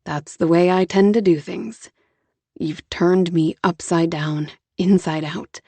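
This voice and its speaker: A woman voicing a tender, earnest young man in love, with a soft, low, hushed and coaxing delivery. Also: steady tone, takes it slowly, husky, dry recording, full character voice. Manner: gentle and coaxing.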